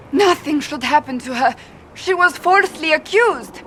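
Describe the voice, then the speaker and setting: A woman's voice: high-pitched, expressive, a little slow, a bit bright. A woman playing a proud, earnest, self-reproaching warrior in a video game, speaking formally and intensely. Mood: flustered. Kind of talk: dramatic video game acting. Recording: long hall reverb, background noise.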